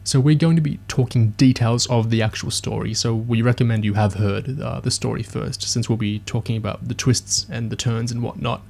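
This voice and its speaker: A man with a medium-pitched voice hosts a discussion podcast. He speaks as an engaged fan reviewer, explaining things to listeners and sharing his enthusiasm. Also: steady tone, moderate pace, creaky, few pauses, a little mellow.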